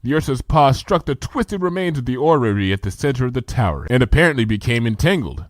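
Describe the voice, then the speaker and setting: Male story reader, lively dramatic delivery, dry close sound, action narration. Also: mid-range voice, fast talker, no room sound. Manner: vivid, animated action narration. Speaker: fanfiction reader.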